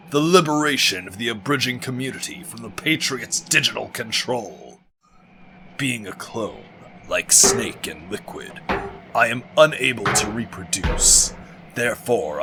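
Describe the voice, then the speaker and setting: A theatrical, pompous male villain from a game parody. He declaims grandly with a widely swinging pitch, over clear background music. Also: bright, forceful tone. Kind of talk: scripted game parody dub.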